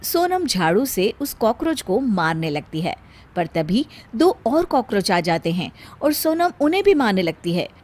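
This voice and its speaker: A woman's voice: medium pitch, big pitch swings, a little slow, a little mellow, slight background noise. A woman narrating a scripted story in the role of storyteller.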